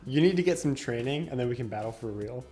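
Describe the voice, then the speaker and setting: A man's voice: mid-range voice, animated, fast talker, mellow, soft background music. A young man co-hosting a podcast, chatting casually, laughing often and explaining game rules. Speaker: podcast co-host. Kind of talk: casual chat.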